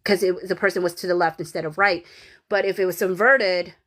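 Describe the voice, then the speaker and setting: A woman's voice: lowish voice, steady tone, fairly quick, fairly bright tone. A woman with a Filipino accent, perhaps in her thirties, hosting a casual livestream, reading viewer comments and rambling with many fillers and restarts. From Philippines. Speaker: livestream host reading chat.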